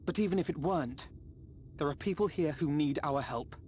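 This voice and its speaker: An actor playing an earnest, courteous, compassionate young nobleman in a video game, speaking in a formal, measured way. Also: fairly high pitch, darkish tone, a little hiss or hum, natural voice. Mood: grave. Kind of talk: video game cutscene acting. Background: music.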